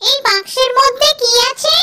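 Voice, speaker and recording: A woman voicing a scolding witch aunt in a children's cartoon, in a shrill, exaggerated, sing-song delivery. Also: normal speed, very noisy. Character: scolding witch aunt who beats and hands out prizes. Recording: music under the voice.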